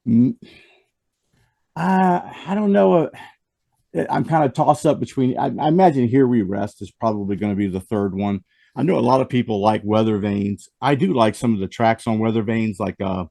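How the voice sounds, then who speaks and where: Male host, gravelly and losing his voice after the flu, dry close sound. Rambling and casual, restarts his sentences. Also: medium pitch, normal speed, dark tone, dry recording. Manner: rambling, with frequent restarts and fillers. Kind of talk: casual music review chat.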